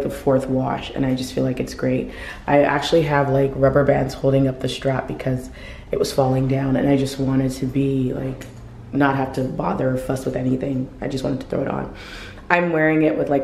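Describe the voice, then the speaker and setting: A woman's voice: rumbling low, mellow, a little hiss or hum. A woman in her forties chatting casually through an outfit vlog, losing her voice, with many ums, filmed on a handheld camera in a home hallway. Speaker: fashion vlogger. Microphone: camera held in mirror selfie. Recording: echoey hallway, faint ambience.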